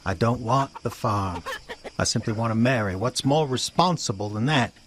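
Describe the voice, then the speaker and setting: A man's voice: mid-range voice, normal speed, natural voice. Man playing an earnest, defiant young farm son. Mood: pleading, earnest. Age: young adult. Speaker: farmer's son. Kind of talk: period audio drama acting.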